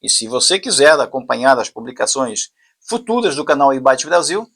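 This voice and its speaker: A man with a Brazilian Portuguese accent giving an informal technical explanation as a tutorial presenter, haltingly, thinking aloud and repeating himself. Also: mid-range voice, very animated, a bit bright, a little hiss or hum.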